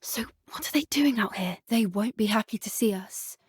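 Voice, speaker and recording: A woman with a British accent playing an earnest, gentle teenage witch student in a video game, soft-spoken and politely phrased. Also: mid-range voice, moderate pace, slightly rough, dry recording, clean studio sound. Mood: concerned, determined. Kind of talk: video game character dialogue.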